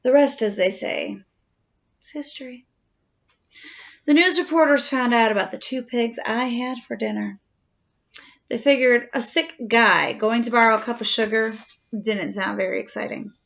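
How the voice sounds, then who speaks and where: A woman voicing an aggrieved, slyly self-pitying male wolf who tells his side of a storybook tale with mock-innocent, drawn-out drama. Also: on the high side, vocal fry, fairly bright tone, highs cut off. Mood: wronged, self-pitying.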